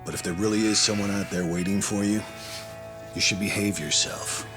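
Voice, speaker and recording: A man's voice: lowish voice, steady tone, normal speed, music in the background, lightly acted. An actor playing a stern but caring male prison guard who lectures firmly, in a video game dub. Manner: firm, lecturing.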